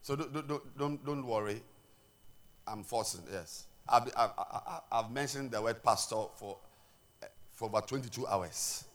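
A man with a Ghanaian accent preaching a sermon as pastor, swinging between loud declarations and quiet asides and repeating words as he restarts. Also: mid-range voice, moderate pace.

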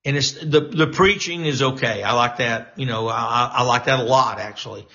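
Bright-voiced halting pastor on a live stream. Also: male voice, mid-range voice, highs cut off. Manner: halting, many fillers and restarts; encouraging, preachy. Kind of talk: casual live-stream talk.

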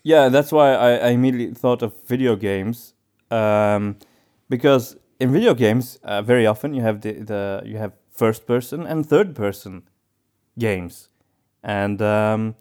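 A man co-hosting a casual podcast, speaking in a halting way as he thinks aloud. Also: fairly low pitch, darkish tone, smooth. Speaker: podcast co-host.

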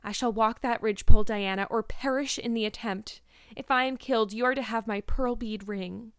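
Storybook girl, played by a woman: high, clear, earnest, theatrical, talkative. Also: a little fast, dry recording, clean studio sound. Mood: solemn, dramatic. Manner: solemn, grandly wordy, theatrical. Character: earnest, dramatic young girl, plucky and talkative. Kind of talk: storybook character voice.

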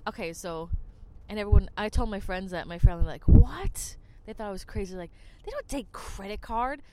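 A woman's voice: medium pitch, lively intonation, moderate pace, very noisy. A woman from New Jersey, a podcast host telling rambling stories in casual chat. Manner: chatty, rambling, lots of "like". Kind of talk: casual storytelling monologue.

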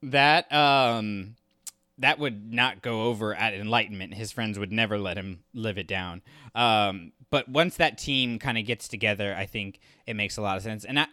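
A man's voice: fairly low pitch, bright, forceful tone, dry recording, studio quality. A man co-hosting a podcast, giving his opinions on a story in casual conversation. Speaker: podcast co-host. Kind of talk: casual opinionated review chat.

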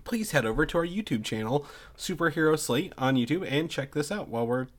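A man's voice: fairly high pitch, animated, a little fast. A man co-hosting a casual podcast, congested from the flu, rambling with lots of fillers and restarts. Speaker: podcast co-host.

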